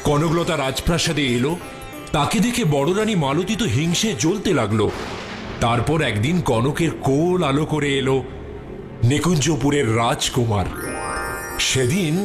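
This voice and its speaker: A man's voice: fairly high pitch, expressive, moderate pace, over music. A man narrating a fairy tale as a scripted storyteller.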